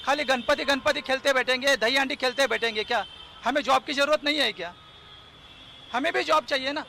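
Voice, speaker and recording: A man's voice: very high voice, a little fast, gravelly, pushed and bright, slight background noise. A man insisting on his view in a street interview outdoors, asking rhetorical questions.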